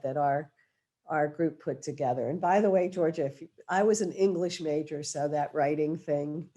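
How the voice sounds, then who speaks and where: Hoarse woman moderating, with a cold. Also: deep voice, dark tone. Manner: restarts phrases often, chatty. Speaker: panel moderator. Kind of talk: webinar introduction. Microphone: remote video call.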